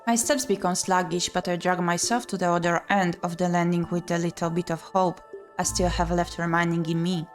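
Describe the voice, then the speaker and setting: A woman streamer reading a visual novel aloud in an expressive, theatrical way. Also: lowish voice, brisk. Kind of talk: dramatic read-aloud narration. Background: game music.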